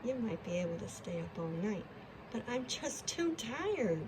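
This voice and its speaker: A woman voicing a gentle, tired, protective mother bear in a children's storybook. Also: mid-range voice, very animated, measured pace, background noise, pitched above the performer's own voice.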